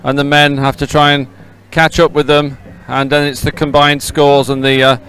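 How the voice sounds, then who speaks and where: A man commentates on a race live from outdoors. His voice sits a little high and comes through very clean and resonant. He speaks off the cuff, hesitating, repeating words and correcting himself as he goes. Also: flat delivery, normal speed, fairly bright tone, clean tone. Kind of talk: live unscripted sports commentary. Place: outdoors beside a race course.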